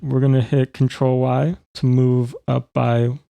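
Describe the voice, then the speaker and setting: Male software tutor, informal and methodical. Relaxed, fry-edged delivery, dry sound. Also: medium pitch, unhurried, dry recording. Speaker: tutorial presenter. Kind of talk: informal step-by-step software demonstration.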